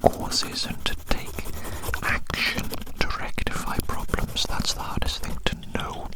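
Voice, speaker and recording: Unvoiced whisper, breathy, close-miked ASMR, rambling, repeated phrases, personal attention. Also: measured pace, low-quality recording. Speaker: ASMR video creator. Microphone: very close to the microphone.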